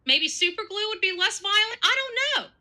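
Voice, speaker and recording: A woman with a high, very animated voice delivers casual commentary. She sounds very bright and piercing, with an emotional, dramatic way of talking. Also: normal speed. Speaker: online commentator.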